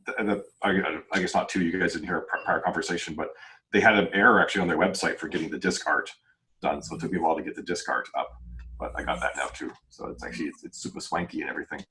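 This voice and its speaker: Fast-talking man with a low voice. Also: fairly even intonation, pushed and bright, poor audio. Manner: chatty, informal slangy wording. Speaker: independent author and podcast host. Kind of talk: casual podcast chat. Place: video call group podcast.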